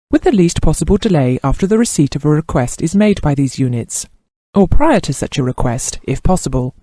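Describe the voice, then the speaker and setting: A man reading scripted instructional narration in a formal, measured manner, like a course instructor. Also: a little high, dark tone, no room sound, clean studio sound.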